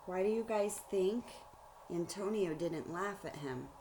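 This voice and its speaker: A woman reading a storybook aloud like a teacher, pausing to explain things directly to young listeners. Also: mid-range voice, mellow, a little hiss or hum, low-quality recording. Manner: explanatory, addresses children directly.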